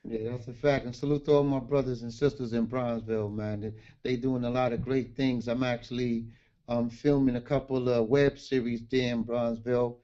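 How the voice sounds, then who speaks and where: Mellow-voiced New man, lo-fi audio. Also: medium pitch. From Brooklyn, New York. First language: Garifuna. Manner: relaxed and plainspoken, with street slang. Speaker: guest author. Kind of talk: casual interview on a livestream.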